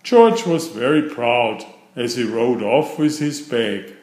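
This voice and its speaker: A man reading a children's story aloud in a deliberate, expressive storytelling manner, with a roomy sound. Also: medium pitch. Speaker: storybook narrator. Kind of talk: children's read-aloud narration.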